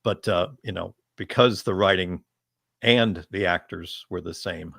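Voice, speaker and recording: A man moderating an unscripted panel discussion, phrasing his thoughts in a measured, hesitant way. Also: deep voice, vocal fry, fairly bright tone, no room sound, clean studio sound.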